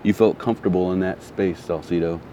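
Man with a very low voice and a throat still recovering from soreness, blunt heated vlog rant, faint street noise. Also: fairly even intonation, a little fast, dark tone. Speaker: vlogger. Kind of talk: casual ranting monologue.

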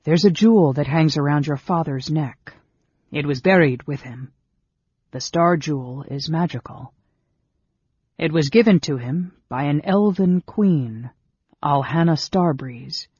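A man's voice: fairly high pitch, unhurried, darkish tone, breathy edge, no echo, dull audio. A man voicing a middle-aged half-elf adventurer in a fantasy audiobook, earnest and exasperated. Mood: irritated, insistent.

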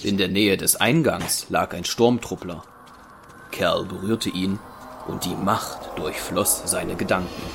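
A man's voice: sits low, steady tone, takes it slowly, gravelly, noisy background. A man reading scripted narration for an audio drama as the storyteller.

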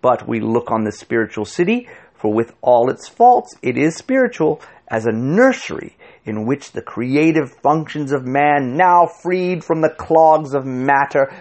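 Man voicing a pompous cultured gentleman, slow and lofty. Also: fairly high pitch, voice pushed up, lightly acted. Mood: lofty, dismissive. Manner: oratorical, drawn-out, condescending. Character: pompous fat cultured man, smugly condescending. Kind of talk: audiobook character voice.

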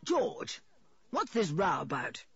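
An impatient, scornful father played by a woman in a storybook reading. He snaps brusquely with a husky edge and sharp swings of pitch, on a slightly muffled recording. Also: wildly swooping pitch, slow, dry recording, heavily acted. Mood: irritated. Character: irritable, impatient, dismissive father. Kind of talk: audiobook character voice.

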